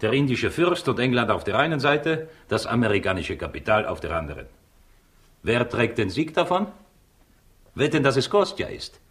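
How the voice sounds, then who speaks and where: An actor playing a cynical, greedy Soviet agent in a 1960s radio crime play, smug and lecturing. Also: male voice, medium pitch, sing-song, moderate pace, a bit bright. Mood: smug, boastful. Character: cynical, scheming Soviet agent, male, greedy and self-assured. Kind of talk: 1960s German-language radio crime drama acting.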